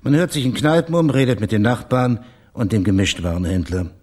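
Actor as a husky, low-voiced private detective. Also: male voice, a little fast, a little mellow, close to an everyday voice. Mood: cool, wry. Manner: laconic, dry. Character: dry, wry, unhurried male private detective. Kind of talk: naturalistic radio play acting.

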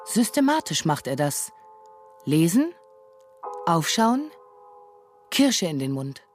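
A woman's voice: lowish voice, big pitch swings, slow pace. A woman narrating a literary radio drama in scripted, descriptive prose. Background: music.

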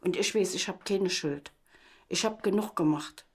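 A woman's voice: fairly low pitch, normal speed, a little hiss or hum. A middle-aged woman giving a spontaneous personal account as an interviewee, earnest and insistent.

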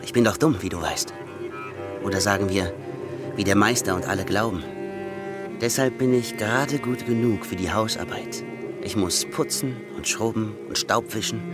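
A man playing a shrewd, secretive mill hand who passes for a simpleton, speaking in a deliberate, knowing radio play manner. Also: fairly low pitch, natural voice. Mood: wry, secretive. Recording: music clearly behind the voice.

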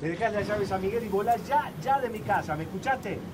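A man's voice: high-pitched, expressive, a little fast. A man playing a hot-tempered, crude factory worker in a radio drama, ranting aggressively with stammered repetitions and a Rioplatense Argentine accent. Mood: furious. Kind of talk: naturalistic radio drama acting. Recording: noisy ambient location sound.

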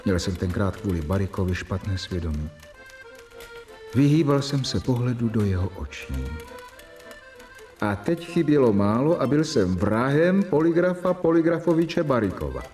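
An actor narrating in the first person in a radio play, measured and storytelling. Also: male voice, mid-range voice, big pitch swings, darkish tone, faint music behind, close to an everyday voice.

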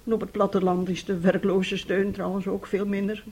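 A middle-aged mother in a radio drama, worn down and bitter. Her voice is husky, and she speaks with theatrical, confiding weariness, full of despair at her unhappy household. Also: medium pitch, little pitch movement, moderate pace, a little mellow. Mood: weary, confessing. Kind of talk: Dutch radio play acting.

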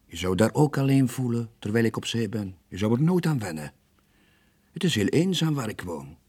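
An actor playing a weary, lonely sailor in his late forties, gentle but evasive, with a husky voice and a subdued, hesitant manner in a radio drama. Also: medium pitch, big pitch swings, normal speed. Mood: reluctant. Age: late 40s.

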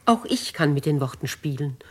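A woman's voice: sits low, lively intonation, normal speed, darkish tone. A woman playing a dignified, bold older noblewoman writer in a 1950s German radio drama, with theatrical, declamatory phrasing. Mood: challenging.

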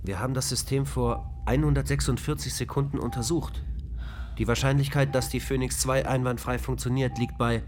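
A man voicing a calm male ship computer in a radio play, reporting in a flat, precise, machine-like way. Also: medium pitch, moderate pace, slight background noise, natural voice.

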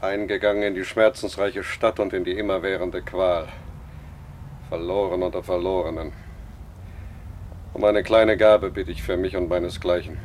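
An actor playing a bitter, theatrical beggar in a radio play, speaking in a declamatory, solemn and sardonic way. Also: male voice, low voice, steady tone, normal speed, pauses often, darkish tone, slight background noise, lightly acted. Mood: solemn lament.

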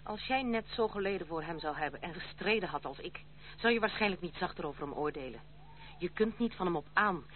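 A woman's voice: fairly low pitch, expressive, fairly quick, lightly acted. An actress playing a proud, stern young woman in a Dutch radio drama, speaking formally and resolutely. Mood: uneasy. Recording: tinny, noisy old radio play recording.

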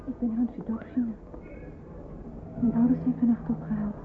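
A woman's voice: on the high side, little pitch movement, moderate pace, close to an everyday voice. Thin, noisy recording of a woman playing an anxious young woman. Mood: polite, hesitant. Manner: hesitant, hushed, often near whispering. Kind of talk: radio drama acting with hushed inner monologue. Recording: thin phone-like band, noisy old radio play.

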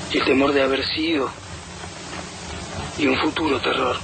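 A man reciting poetry in a grave, deliberate, solemn way. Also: medium pitch. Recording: noisy, old-radio-like recording.

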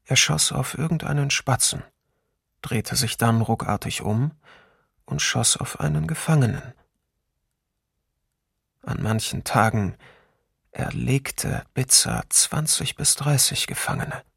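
A sober wartime eyewitness for a documentary radio play. His voice is husky and creaky, recorded very dry, and he narrates in a measured, grave way. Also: medium pitch, a bit bright, dry recording, full character voice. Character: male eyewitness soberly recounting wartime camp atrocities.

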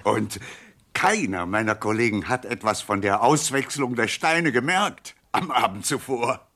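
An actor playing a smug, courteous crooked banker, speaking in a suave, formal manner in a 1970s radio crime comedy. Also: male voice, medium pitch, measured pace, sharp tone. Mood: smugly pleased. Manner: suave, formal, self-satisfied.

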